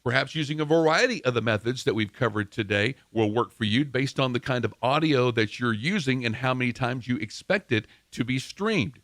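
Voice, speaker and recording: A man's voice: mid-range voice, expressive, brisk, few pauses, fairly bright tone, no echo. Man hosting an instructional podcast, dry sound. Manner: explanatory, addresses listener directly. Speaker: podcast host.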